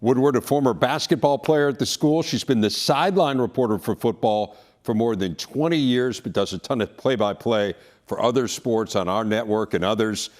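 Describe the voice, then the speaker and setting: A man's voice: mid-range voice, normal speed, hardly any pauses, darkish tone. An American man presenting and interviewing as the host of a sports studio show.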